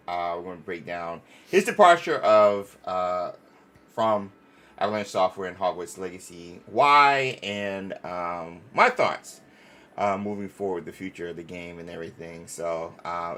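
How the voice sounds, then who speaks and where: A man hosting a livestream, chatting casually and reading sponsor spots, often halting and repeating himself. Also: sits low, expressive, pushed and bright. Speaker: livestream host.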